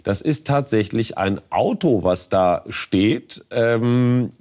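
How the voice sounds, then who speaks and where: A man's voice: fairly low pitch, expressive, takes it slowly, darkish tone. A man hosting a talk-show interview, asking teasing, ironic questions.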